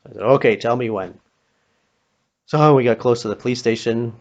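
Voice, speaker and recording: A man telling a story informally, acting out the dialogue, with a halting, pausing delivery and a creaky voice. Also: medium pitch. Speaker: storyteller, pastor. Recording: dull, muffled lo-fi recording.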